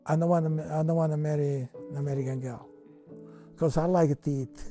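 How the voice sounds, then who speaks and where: An older Italian-accented man tells his story in halting, broken English, full of fillers and restarts. His voice is deep, dark and mellow, and the pitch sits a little high for a man. Soft music plays faintly beneath him. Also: fairly even intonation. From Italy. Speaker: interviewee. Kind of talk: reminiscing in an interview.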